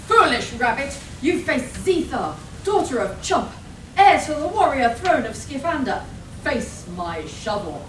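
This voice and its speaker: A woman's voice: sits high, big pitch swings, a little slow. A woman playing a proud, haughty warrior princess in a live comedic radio play. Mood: defiant. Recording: echoey hall, far mic, noisy.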